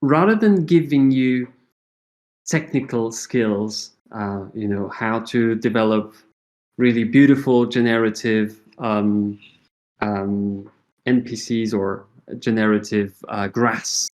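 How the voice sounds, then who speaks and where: A man's voice: lowish voice, slow, darkish tone. A middle-aged man with a Portuguese accent, a native Portuguese speaker, answering interview questions thoughtfully with many fillers and restarts. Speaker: associate professor, guest.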